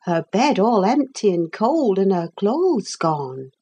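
A woman's voice: mid-range voice, very animated, slow pace, mellow, breathy edge, pitched above the performer's own voice, exaggerated voice. A woman reading aloud, voicing a blunt, grumbling older cook with working-class speech.

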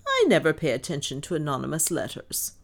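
Woman playing a crisp, imperious older lady, swooping pitch. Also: sing-song, measured pace, exaggerated voice. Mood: imperious, sharp. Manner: crisp, decisive, ironic, imperious. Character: brisk, no-nonsense older mistress of the house. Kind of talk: audiobook character dialogue.

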